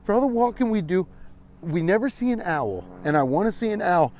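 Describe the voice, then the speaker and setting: A man's voice: high-pitched, big pitch swings, fairly quick, a little mellow. An American man, around his forties, chatting casually and irreverently as he hosts a rambling comedic walking vlog, swearing freely. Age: 40s. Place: outdoors, walking on a trail.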